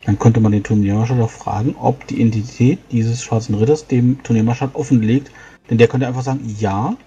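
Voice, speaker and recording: A man's voice: medium pitch, steady tone, fairly quick, mellow, lo-fi sound, soft background music. A man playing a tabletop role-playing game, reasoning aloud in character with fillers. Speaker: player.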